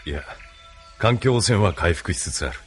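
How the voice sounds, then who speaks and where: 男性声優がアニメのオーディオドラマで、落ち着いて威厳のある中年の軍大佐を演じている。. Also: male voice, low voice, animated, faint music behind. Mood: grandly reflective. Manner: measured, authoritative. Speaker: voice actor. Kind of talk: anime audio drama acting.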